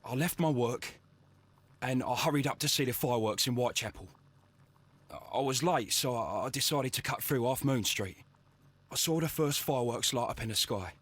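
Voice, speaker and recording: A man's voice: medium pitch, normal speed, fairly bright tone, slightly rough. A man playing an anxious young working-class Victorian with a London accent in a video game, earnest and stammering as he speaks. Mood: anxious recounting. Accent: English, London. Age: young adult.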